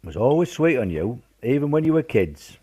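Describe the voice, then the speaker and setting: A man's voice: mid-range voice, very animated, moderate pace, warm tone, slightly rough, muffled recording. A man playing a blunt, affectionate working-class British father in a wartime radio play. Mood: hearty, insistent.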